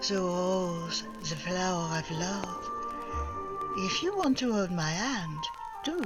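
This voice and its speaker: A woman's voice: lowish voice, expressive, slow, over loud music. An actress playing a proud, glamorous young Frenchwoman in a 1940s-style radio drama, speaking formally and romantically. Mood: wistful, romantic. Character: proud glamorous young French woman, resistance money smuggler.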